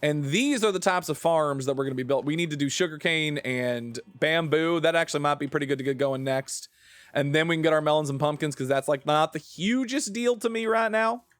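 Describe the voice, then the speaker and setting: A man with an American accent and a slightly high voice talks casually as a game streamer. He rambles and thinks aloud as he goes, recorded close with very little room sound. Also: a little fast, fairly bright tone, no room sound. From southern-adjacent United States. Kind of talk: casual livestream chat while playing a building game.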